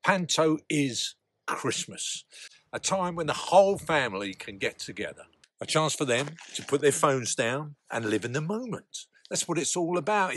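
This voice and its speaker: A man's voice: a little high, a bit bright, breathy edge, no echo. A middle-aged man presenting to camera outdoors with an appeal. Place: outdoors on a green hillside. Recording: faint noise and clicks.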